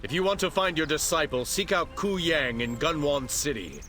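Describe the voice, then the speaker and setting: Video game martial arts master, male: weary, guarded, earnest, very animated intonation. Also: fairly high pitch, moderate pace, soft background music. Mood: wary, stern. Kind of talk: dramatic game cutscene acting.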